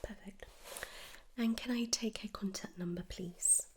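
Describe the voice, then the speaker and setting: A British woman whispering softly and gently in an ASMR medical roleplay, reassuring and unhurried, close to the mic. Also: mid-range voice. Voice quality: breathy whisper and hushed soft voice. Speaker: ASMR performer playing a dental receptionist or dentist.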